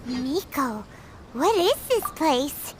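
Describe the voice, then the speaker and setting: A woman voicing a tiny childlike fairy companion in an anime game, squeaky, excitable and timid, with exclamations. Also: slow, noisy background, full character voice. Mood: scared. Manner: speaks of herself in third person, exclaiming. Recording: loud game music behind.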